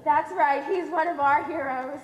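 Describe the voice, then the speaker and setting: A woman's voice: sits high, fairly even intonation, normal speed, highs cut off, lightly acted. A teenage actress on stage playing a brash, pushy female pop star. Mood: excited, admiring. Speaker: actor in a high school play. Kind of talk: live amateur stage acting. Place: theater stage. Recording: echoey hall stage recording.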